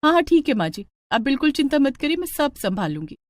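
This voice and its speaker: A woman voicing a young, spirited new daughter-in-law in a cartoon story, expressive, exasperated and insistent. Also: sits high, fast talker, no room sound, voice pushed up. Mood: sweetly insistent.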